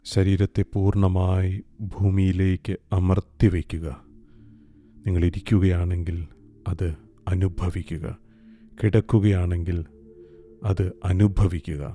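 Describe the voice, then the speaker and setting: A man guiding a meditation in a calm, soft, hushed way. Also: low voice, takes it slowly, long pauses. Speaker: meditation guide. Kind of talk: slow guided meditation. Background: soft music.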